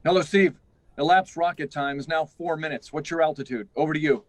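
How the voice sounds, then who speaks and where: High-pitched, anxious man acting a flight controller. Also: brisk, slight background noise, close to an everyday voice. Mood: businesslike. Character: anxious male flight controller in command, urgent. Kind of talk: radio drama acting.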